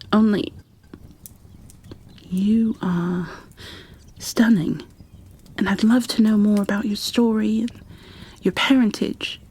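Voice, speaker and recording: A woman playing a gentle, shy, bookish bookkeeper in an ASMR roleplay, soft-spoken and half-whispering, with a hesitant, apologetic way of talking. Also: medium pitch, husky. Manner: hesitant, apologetic, halting, careful. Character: gentle, shy, bookish female creature bookkeeper, peace-loving and kindly.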